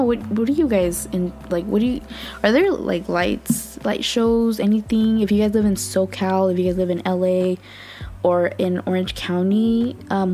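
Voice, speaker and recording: A young woman from Southern California hosting a podcast in casual, bubbly chat, her voice a little raspy from just waking up. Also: medium pitch. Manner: chatty, bubbly, laughs often, quiet morning talk. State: slightly raspy morning voice. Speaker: podcast host.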